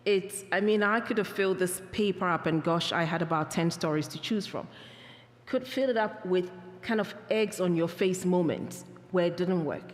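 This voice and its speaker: Ghanaian woman speaker, lowish voice, earnest and reflective, church hall reverb. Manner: earnest, reflective, with halting restarts. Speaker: peacebuilding speaker. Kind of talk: talk with Q&A answers.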